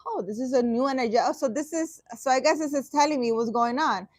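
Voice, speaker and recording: Spiritual vlogger, woman, higher register, dry close sound; reads aloud, reacts with sarcastic exasperation, halting and profane. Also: darkish tone, no room sound. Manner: halting, restarting sentences. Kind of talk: reading a dream interpretation aloud and commenting.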